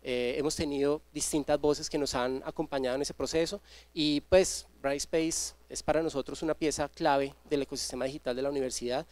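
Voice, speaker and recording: A man, introducing a guest speaker at a university seminar, pausing often with filler sounds, speaking into a handheld mic. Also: on the high side, fairly even intonation, a little mellow, no room sound. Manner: hesitant, many filler sounds and repeated words. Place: university seminar room with audience, indoors. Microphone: handheld microphone.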